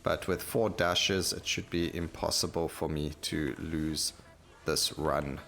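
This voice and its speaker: A man's voice: very deep voice, fairly even intonation, takes it slowly, fairly bright tone. A man giving casual gameplay commentary, thinking aloud and rambling as he plays, with game music behind him. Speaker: gaming video host.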